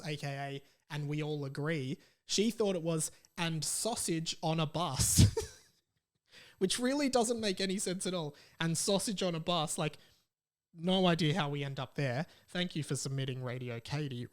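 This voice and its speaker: Aussie guy doing a solo podcast, casual jokey chat, repeats himself for emphasis. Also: on the high side. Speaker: podcast host.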